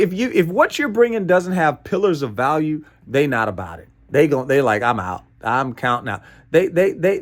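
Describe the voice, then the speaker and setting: An American man presenting a motivational training talk in an emphatic, playful way, repeating phrases and often checking in with "right?". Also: on the high side. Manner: playful asides and chuckles.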